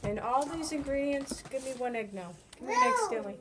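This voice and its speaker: A woman's voice: high-pitched, expressive, fairly quick. A middle-aged woman with a New England accent, chatting through a hands-on bread-making demonstration in her home kitchen, talking while she kneads. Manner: chatty, coaxing, talks while kneading dough by hand. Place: home kitchen with children around. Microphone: phone camera held by another person. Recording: noisy home video.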